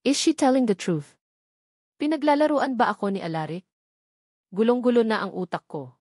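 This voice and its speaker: A woman's voice: fairly high pitch, expressive, normal speed, darkish tone, no echo, studio quality. A woman with a Filipino accent reading a first-person romance novel as scripted audiobook narration.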